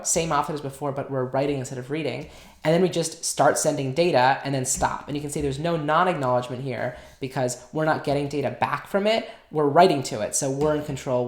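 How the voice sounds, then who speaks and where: A woman with a low voice walks through a hardware project in a relaxed way, explaining each step and thinking aloud as she works. She talks casually, like a channel host showing her workbench. Her voice carries a noticeable echo from the room around her. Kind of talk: casual technical walkthrough.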